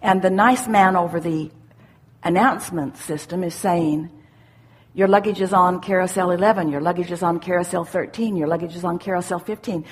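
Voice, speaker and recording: A woman speaking as a spiritual teacher at a live seminar, answering questions in a deliberate, didactic way with emphatic pauses. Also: fairly low pitch, husky.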